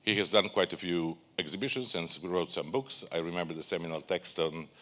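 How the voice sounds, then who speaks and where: A man as moderator giving a halting introduction of a guest speaker at a symposium. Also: low voice, fairly bright tone. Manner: halting, searching for words.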